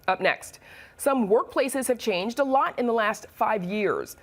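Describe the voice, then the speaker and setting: A woman with an American accent reading scripted news and hosting studio interviews in a crisp, measured broadcast delivery. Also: a little high, animated. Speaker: news anchor and host.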